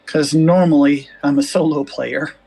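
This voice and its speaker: A man with a soft, gentle voice, chatting casually over voice chat with a streamer. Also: a little high, steady tone, unhurried, gravelly, faint music behind. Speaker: guest gamer.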